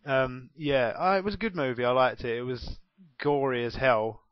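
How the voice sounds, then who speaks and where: A well-spoken young Englishman in his twenties rambles through a casual home vlog, self-mocking and quick to laugh. His mid-pitched voice comes across dull and muffled. The small room sounds dead dry, with no echo at all. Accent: well-spoken southern English. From England. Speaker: gaming YouTuber.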